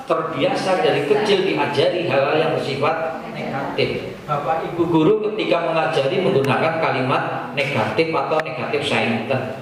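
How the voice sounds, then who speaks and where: A man with a Banyumasan Javanese accent giving an informal, didactic speech to teachers, full of rhetorical questions and colloquial Javanese. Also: high voice. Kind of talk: informal public address to teachers. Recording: echoey room from far off, faint noise.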